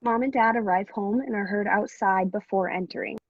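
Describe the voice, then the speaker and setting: A woman's voice: mid-range voice, normal speed. Dull lo-fi woman reading stage directions. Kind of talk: scripted narration. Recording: dull lo-fi online audio.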